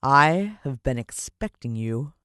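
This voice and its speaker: Woman playing a slow, menacing storybook villain man. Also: rumbling low, expressive, a bit bright, no echo, studio quality, heavily acted. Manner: slow, deliberate, ominous delivery. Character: menacing, gloating villain man who commands ants.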